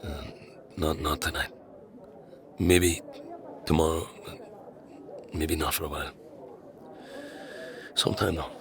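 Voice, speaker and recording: A man playing a troubled, self-doubting young man in a dark fantasy audio drama, with a gravelly voice and hesitant, brooding delivery. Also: a bass voice, fairly even intonation, takes it slowly, long pauses, exaggerated voice. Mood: weary, hesitant.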